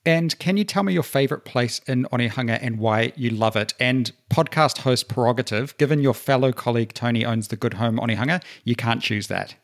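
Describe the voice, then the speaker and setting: A young man with a New Zealand accent hosting a local podcast, asking questions in an upbeat, brisk way. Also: medium pitch. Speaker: podcast host. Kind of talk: podcast interviewing.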